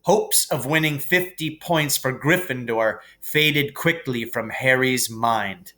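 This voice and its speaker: A man narrating a children's novel aloud as a teacher would, measured and expressive. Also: mid-range voice. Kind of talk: storybook narration.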